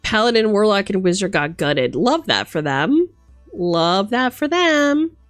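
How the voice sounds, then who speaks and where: A woman's voice: mid-range voice, very animated, measured pace, dry recording, faint music behind. A woman doing casual livestream commentary as host, playful and theatrical, mixing gushing exclamations with dry asides. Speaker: streamer hosting a live book look-through.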